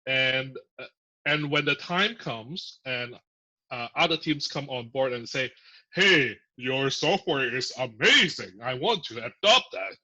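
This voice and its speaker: Male guest, bright and forceful, chatty with fillers; dull lo-fi sound. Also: medium pitch, expressive, measured pace. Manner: chatty, filler-heavy explaining with laughs. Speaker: podcast guest, software engineer. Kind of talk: casual interview. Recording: muffled lo-fi audio.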